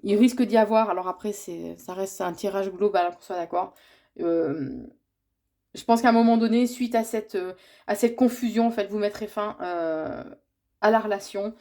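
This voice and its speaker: A woman's voice: mid-range voice, dark tone. A woman with a cold giving a yearly horoscope reading, hesitant and full of fillers and restarts, relaying what she says she is told. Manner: speaks as if receiving messages. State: has a cold, congested. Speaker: tarot reader.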